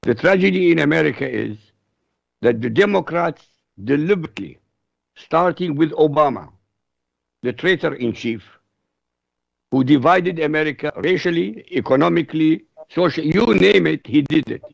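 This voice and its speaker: A man's voice: fairly high pitch, expressive, normal speed, creaky, dry recording, lo-fi sound. A man from Iraq whose first language is Arabic, speaking bluntly and emphatically as a radio guest on foreign affairs. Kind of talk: opinionated radio talk.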